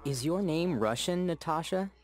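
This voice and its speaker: A man playing a polite, curious young male student in a scripted coursebook audio dialogue, speaking clearly. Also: fairly high pitch, lively intonation, moderate pace, lightly acted. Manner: clear, carefully spoken textbook dialogue.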